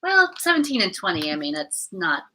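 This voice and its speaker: A woman's voice: on the low side, sing-song, moderate pace, pushed and bright, a little hiss or hum. A woman chatting casually as a companion to someone reading aloud. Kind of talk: casual chat.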